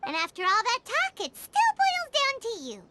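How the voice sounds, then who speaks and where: A woman voicing a tiny, childlike, excitable fairy companion in an anime game dub, exclamatory in manner. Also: extremely high pitch, normal speed, a little hiss or hum, heavily acted. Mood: teasing, knowing. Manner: exclamatory, talks about self in third person.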